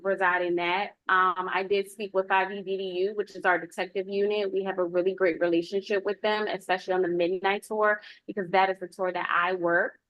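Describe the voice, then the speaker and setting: Casting: woman, police lieutenant reporting to a community meeting, lively intonation on a slightly low voice, dry sound. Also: dry recording, dull audio. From United States. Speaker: police lieutenant giving a public safety report. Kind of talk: informal spoken report at a public meeting.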